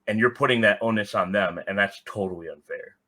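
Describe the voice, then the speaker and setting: Male podcast guest, lowish pitch, bright forceful edge, blunt and casual. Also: fairly quick. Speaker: podcast guest, soccer fan. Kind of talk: casual opinionated talk.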